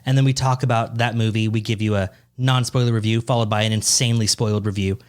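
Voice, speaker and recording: A man's voice: lowish voice, steady tone, fast, clean tone. A man in his twenties co-hosting a comedy podcast, teasing and laughing often in casual chat. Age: 20s. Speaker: podcast co-host.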